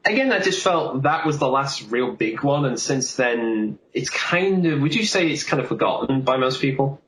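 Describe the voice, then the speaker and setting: A man talks casually as a podcast co-host, rambling in a chatty way at an average pitch. His voice comes with a clear room echo and a little background noise. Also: expressive, normal speed, fairly bright tone. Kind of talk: casual film discussion. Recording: roomy, echoey sound with faint noise.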